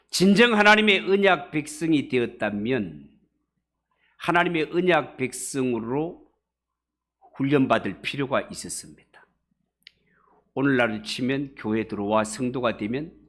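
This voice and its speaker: A man's voice: a little high, a bit bright. A man preaching an expository sermon to a congregation as a pastor, with emphatic rises and falls of stress. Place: church service.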